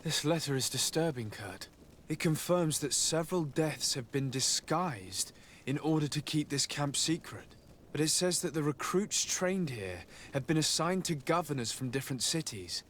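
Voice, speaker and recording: A man playing a calm, diplomatic adventurer in a video game cutscene, with a measured, polite, earnest delivery. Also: fairly high pitch, slight background noise. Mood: troubled. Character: calm, diplomatic male adventurer investigating abuses.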